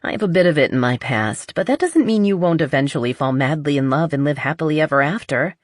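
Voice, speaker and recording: A woman voicing a blunt, honest, skeptical but loyal best friend in an audiobook, with a dry teasing manner and husky voice. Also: deep voice, lively intonation, fast, dry recording. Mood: frank.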